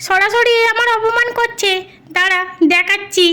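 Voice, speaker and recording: A woman voicing an indignant, scolding lady in a comic cartoon dub, shrill and affronted. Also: fairly even intonation, normal speed, pushed and bright. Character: indignant, scolding woman accusing men of harassment. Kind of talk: comic Bengali cartoon dubbing.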